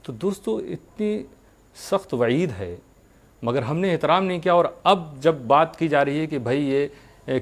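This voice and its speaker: A man giving a religious sermon, speaking earnestly to his followers with rhetorical questions and deliberate pauses. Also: mid-range voice.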